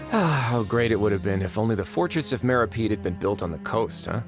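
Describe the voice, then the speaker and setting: An actor playing a courteous, urbane and gently ironic young duke in a video game. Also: male voice, on the low side, expressive, moderate pace, music in the background. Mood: courteous, wry. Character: composed, genteel younger Duke who runs an undersea prison. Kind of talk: video game character acting.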